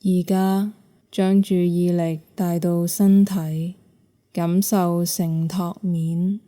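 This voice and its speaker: A woman with a Hong Kong Cantonese accent leads a guided meditation. Her voice is low and very mellow, with a deeply relaxed, dark tone. She speaks slowly and softly, leaving long, soothing pauses between phrases. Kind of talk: guided yoga nidra meditation narration.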